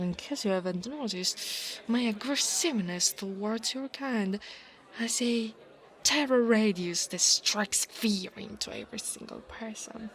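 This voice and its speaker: A woman's voice: medium pitch, lightly acted. A woman playing a big, domineering but insecure dragon college bully in an ASMR roleplay, with a teasing, haughty manner. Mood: mocking, haughty. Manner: teasing, haughty, drawn-out delivery with breathy asides.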